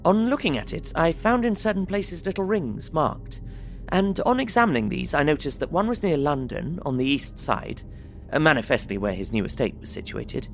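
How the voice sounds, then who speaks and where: An actor playing an earnest, polite young English solicitor, narrating his journal in measured, bookish Victorian phrasing for an audio drama. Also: on the high side, sing-song, vocal fry, soft background music. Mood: measured, matter-of-fact.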